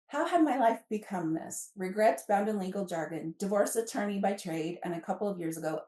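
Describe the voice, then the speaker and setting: Middle aged american lady podcast guest, chatty and expressive, bit far from the mic, echoey room. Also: mid-range voice. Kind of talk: casual chatty storytelling, with some reading aloud from a book.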